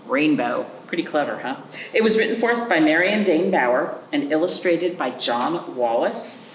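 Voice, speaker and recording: A woman hosting a children's storytime as a librarian, reading aloud with chatty asides and simple, animated explanations with little check-in questions. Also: sits low, reverberant, a little hiss or hum, poor audio. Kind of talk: picture-book read-aloud with chatty asides for young children.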